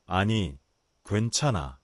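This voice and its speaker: Kind young tiger in a bedtime storybook, low and earnest, lively pitch, dry close sound , voiced by a man. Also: takes it slowly, no echo. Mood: gentle. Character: gentle young male tiger, kind and calm. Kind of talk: storybook voice acting.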